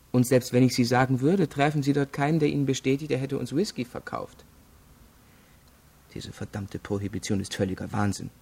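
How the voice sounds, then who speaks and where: An actor playing an arrogant, evasive rich young male student under questioning in a radio crime drama, cool and disdainful, with a rough voice. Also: medium pitch, a little mellow. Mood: evasive, defiant. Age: young adult.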